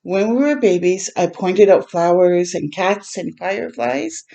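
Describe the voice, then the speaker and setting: A woman reads a children's picture book aloud at a slow, unhurried pace. Her voice is soft-edged and mellow, sitting a little low. She speaks gently, stepping out of the text to explain things simply to young listeners. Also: lively intonation, vocal fry. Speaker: read-aloud storytime host for children.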